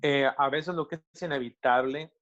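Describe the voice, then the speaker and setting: A middle-aged Mexican pastor with a Mexican Spanish accent answers questions over a video call. He speaks reflectively, pausing and restarting often as he works through his thoughts. His voice sits at an ordinary pitch and comes through a slightly dull, lo-fi connection. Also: fairly even intonation, fairly bright tone. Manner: thoughtful, with many hesitations and restarts. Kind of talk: interview answers.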